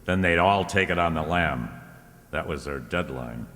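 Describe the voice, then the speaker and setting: A man's voice: extremely low pitch, expressive, moderate pace, a bit bright. A man acting a wry, tough private detective in an old-time radio drama, with a sardonic, wisecracking delivery. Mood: explaining. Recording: hall-like reverberant radio play recording.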